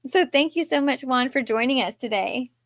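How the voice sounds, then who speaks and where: High-voiced Texan woman hosting a podcast. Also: normal speed, no echo, studio quality. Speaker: podcast host. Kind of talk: podcast interview.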